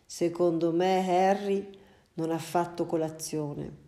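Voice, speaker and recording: A woman's voice: on the low side, fairly even intonation, slow, mellow. A woman voicing a hungry male house cat who mutters and grumbles to himself in a storybook reading. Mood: grumbling. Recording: roomy echo.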